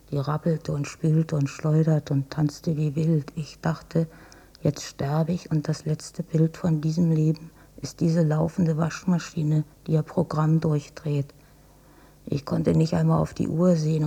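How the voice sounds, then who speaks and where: An actress playing a frail older mother in a radio drama, weak and husky, long-suffering and self-effacing. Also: low-pitched, mellow, full character voice. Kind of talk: intimate radio drama monologue and dialogue. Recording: dull cassette copy of radio play.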